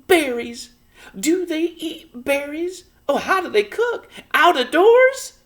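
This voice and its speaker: Fretful little housewife. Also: male voice, big pitch swings, slow, a bit bright, full character voice. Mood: fretful wailing. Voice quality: high, breathy wailing. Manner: moaning and pleading. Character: fretful tiny old-fashioned housewife, easily alarmed.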